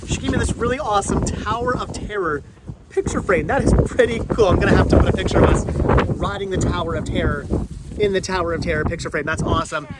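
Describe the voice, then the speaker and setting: High-voiced man hosting a casual vlog. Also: very noisy. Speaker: vlog host. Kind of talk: casual chatty vlog to camera. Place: on location at a flea market.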